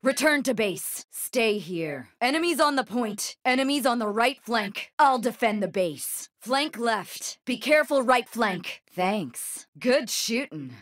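A tough female space bounty hunter from a shooter game, speaks in a dry, close recording. She takes her time with a wry swagger, and her pitch moves widely between cool taunts and combat calls. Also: animated, a bit bright, no room sound. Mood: urgent. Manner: swaggering, deliberate, wry delivery. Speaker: video game character voice. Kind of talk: video game voice lines, short combat barks and quips. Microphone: close studio microphone, dry.

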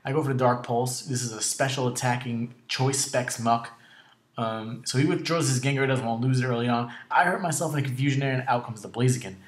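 Male game commentator, mid-pitched, raspy and sore-throated, slightly breathless, casual delivery, roomy home recording. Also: fairly quick. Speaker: video host narrating his own game battle. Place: indoor room at home.

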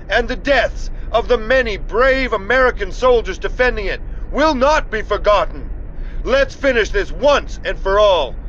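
A fierce, commanding colonel barks a rousing game-cutscene speech to his troops. His voice is very high and forceful, in slightly muffled audio with faint outdoor noise behind it. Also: full character voice. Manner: barking, commanding.

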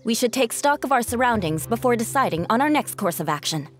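A woman's voice: fairly high pitch, animated, brisk, natural voice. A woman voicing a proud, cautious young female knight in a video game, formal and composed, over music. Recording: music behind the voice.

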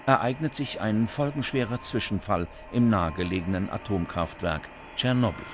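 A man reading scripted documentary narration in a measured, dramatic way, recorded as a studio voice-over. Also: fairly low pitch, expressive, background noise.

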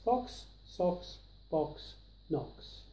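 Older man, children's read-aloud, emphatic rhyming, animated intonation, mellow tone, roomy living room sound. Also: high-pitched, very slow. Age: 60s or 70s. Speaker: storyteller reading to children.

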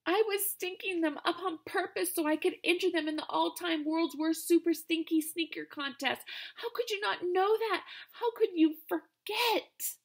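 Woman reading as a shrill, whiny little boy, high and wailing, storybook audiobook. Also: lively intonation, moderate pace, vocal fry, sharp tone, exaggerated voice. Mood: wailing upset. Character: young boy, excitable, whiny little brother.

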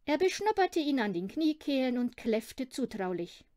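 Female audiobook reader, high animated voice, dry close sound, children's storybook narration. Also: normal speed, no echo.

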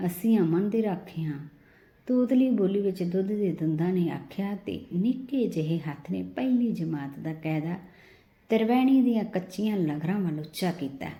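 A woman reading a literary story aloud in an expressive narrating style. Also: lowish voice. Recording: roomy, echoing voice recording.

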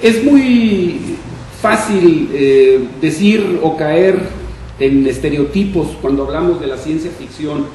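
In a very reverberant room, picked up a little far from the microphone, an older man addresses a panel event. His voice is soft-edged and mellow, and he speaks in deliberate, formal, measured phrases. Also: fairly high pitch, expressive. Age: older, 60s or 70s. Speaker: panel speaker on science fiction. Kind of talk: public talk at a discussion panel. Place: indoor room at a panel table. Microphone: shared table microphone on stand. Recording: echoey room, distant mic, faint noise.

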